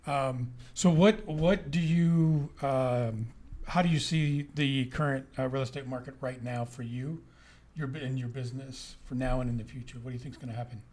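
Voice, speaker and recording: A man's voice: mid-range voice, moderate pace, hardly any pauses, darkish tone. A man, casually interviewing a guest as a podcast host.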